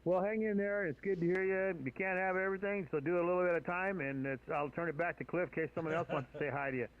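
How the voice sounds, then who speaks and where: A man's voice: high-pitched, steady tone, fast. A man chatting as a ham radio operator, upbeat and friendly, repeating his words. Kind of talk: radio conversation. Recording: dull radio signal with static.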